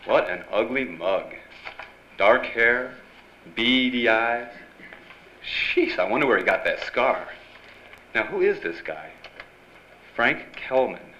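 A man's voice: mid-range voice, lively intonation, slow, long pauses, pushed and bright. An actor playing a wisecracking, hardboiled newspaper reporter in a noir radio drama, with wry tough-guy slang. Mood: musing, wry. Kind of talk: noir radio drama acting with first-person narration. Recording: dull, noisy live radio-play recording.

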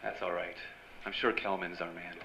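An actor playing an earnest, dogged newspaper reporter in an old-time radio drama. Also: male voice, sits low, little pitch movement, moderate pace, over loud music, natural voice. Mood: determined. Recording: dull, noisy live stage recording.

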